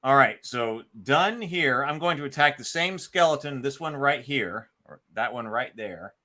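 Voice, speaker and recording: Man, bright voice, board game host, casual play-by-play, thinking aloud, announcing dice and moves. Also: medium pitch, animated, a little slow.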